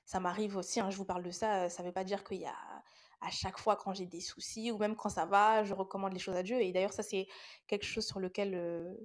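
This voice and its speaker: A woman's voice: medium pitch, steady tone, brisk. A young woman with a head cold hosts a casual faith talk podcast, speaking to her listeners in an earnest, encouraging way. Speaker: podcast host.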